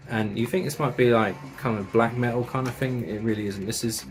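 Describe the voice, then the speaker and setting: A man's voice: medium pitch, fairly even intonation, fairly quick, soft background music. Chatty man raving about metal. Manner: enthusiastic, chatty, with British slang and swearing. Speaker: metal music reviewer. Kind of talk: casual review of records and tapes.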